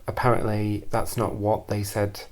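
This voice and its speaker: A man telling a first-person confessional story in a deadpan, deliberate and hesitant way, repeating phrases for emphasis. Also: lowish voice. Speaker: storyteller performing a personal monologue.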